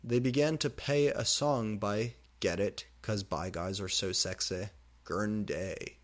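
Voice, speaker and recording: A man reading fan fiction aloud with deliberate, theatrical, comedic narration. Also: fairly low pitch.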